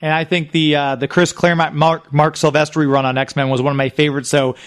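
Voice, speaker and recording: A man's voice: a little high, level tone, brisk. A man hosting a radio interview show in a casual, friendly manner. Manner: friendly, complimentary.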